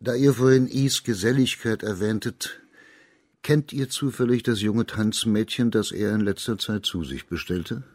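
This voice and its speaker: A man plays a dignified high judge in a radio drama. His voice is on the low side and husky, recorded close and dry. He questions people formally and courteously, probing in measured phrases. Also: darkish tone, no echo, close to an everyday voice. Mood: grave, probing. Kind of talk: German radio drama acting.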